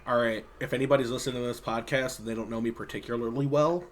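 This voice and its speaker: A man's voice: mid-range voice, fairly even intonation, fast talker, a little hiss or hum. Rambling young man, casual podcast chat. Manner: rambling, filler-heavy, joking. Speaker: podcast co-host.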